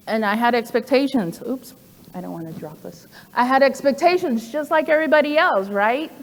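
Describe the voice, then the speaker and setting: A woman in her forties giving a personal presentation to an audience. She speaks conversationally, with frequent "um" fillers and asides. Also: a little high. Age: 40s. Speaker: program director giving a talk. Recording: long hall reverb.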